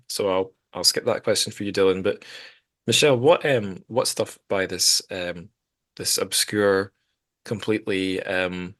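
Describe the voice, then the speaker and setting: A man's voice: lowish voice, fairly bright tone, no echo. A man hosting a podcast, talking casually about books in a rambling, self-correcting way. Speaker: podcast host. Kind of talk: casual literary discussion.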